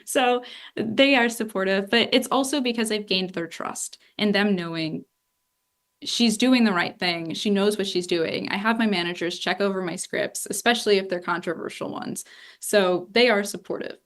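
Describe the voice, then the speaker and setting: A woman's voice: mid-range voice, moderate pace. A woman in her twenties from Northeast Ohio, a political journalist speaking candidly and animatedly in a lecture room, with many asides like "you know". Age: 20s. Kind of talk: public talk answering questions.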